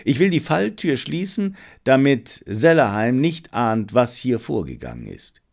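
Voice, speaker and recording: A man's voice: medium pitch, very animated, unhurried, vocal fry, exaggerated voice. A man voicing a commanding, cool-headed detective in an audiobook, terse and urgent. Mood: cool, brisk. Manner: terse, urgent, hushed at times.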